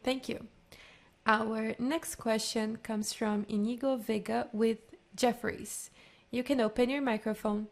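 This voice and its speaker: A woman's voice: fairly high pitch, fairly even intonation, measured pace. A woman moderating a conference call, reading scripted announcements and questions.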